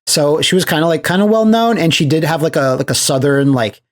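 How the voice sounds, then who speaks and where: A man co-hosting a podcast, casually discussing movies in a halting way, often repeating phrases as he explains. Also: on the high side, lively intonation. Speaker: podcast co-host.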